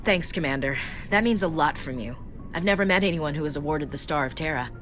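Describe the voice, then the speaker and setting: A young female marine in a game. The voice is low, with an earnest, plain-spoken delivery. Music runs behind it. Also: lively intonation, fairly quick, a bit bright. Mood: grateful. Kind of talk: dramatic video game dialogue.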